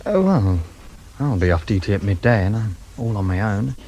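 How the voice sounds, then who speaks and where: An actor playing an eager, chatty detective constable in a radio crime drama. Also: male voice, on the low side, sing-song, moderate pace, warm tone, lo-fi sound. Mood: flirtatious, hesitant. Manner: chatty, hesitant, informal. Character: eager, cheeky, flirtatious male detective constable. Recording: clear background noise and ambience.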